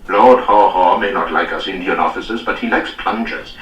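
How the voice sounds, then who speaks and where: A man's voice: low voice, normal speed, a bit bright, reverberant, distant mic, rough recording. A male narrator voicing a dry, weary veteran army captain with an odd Welsh-sounding lilt, wry and understated.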